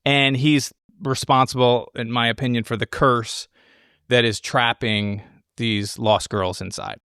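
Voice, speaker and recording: A man's voice: medium pitch, steady tone, unhurried, bright, forceful tone, smooth, no room sound. Man in casual film discussion, dry sound. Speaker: podcast host analyzing a film.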